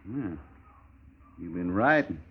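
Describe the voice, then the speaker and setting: A man playing a cold, taunting gunman villain in a 1950s western radio drama, speaking deliberately. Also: low-pitched, animated, slight background noise. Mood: coolly taunting. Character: cold, menacing gunman villain of the Old West. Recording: dull old radio recording.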